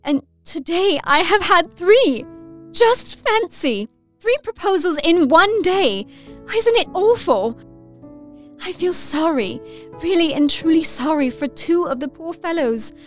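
An actress playing an excitable, romantic Victorian girl of nineteen, reading her letter effusively in an audio drama. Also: very high voice, slow pace, soft background music, exaggerated voice. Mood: giddy delight. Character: excitable, romantic nineteen-year-old Victorian young lady.

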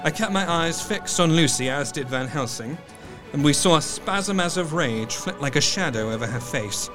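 An actor playing a sorrowful, earnest doctor who narrates a gothic tale in measured, literary phrases. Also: male voice, a little high, lively intonation, over music, close to an everyday voice, low-quality recording. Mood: tense, grave. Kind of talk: dramatic scripted narration.

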